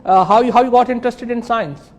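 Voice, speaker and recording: A man hosting an interview, asking halting questions and slipping into Hindi-Urdu phrases. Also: very high-pitched. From Pakistan. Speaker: interview host.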